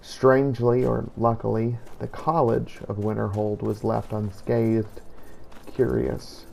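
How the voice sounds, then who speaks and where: A man narrating a roleplay game journal in character, in a measured, brooding storytelling manner. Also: medium pitch, little pitch movement, dark tone, rough recording. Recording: clear background noise.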